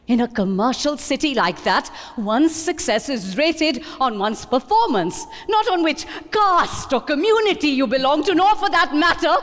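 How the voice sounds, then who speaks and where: A woman's voice: high-pitched, animated, moderate pace, few pauses. A woman voicing a proud, embattled Indian theatre director, emphatic and insistent, in a dramatic reading. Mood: indignant, insistent. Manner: emphatic, insistent, repeating words. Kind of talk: dramatic reading of quoted dialogue. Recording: reverberant hall.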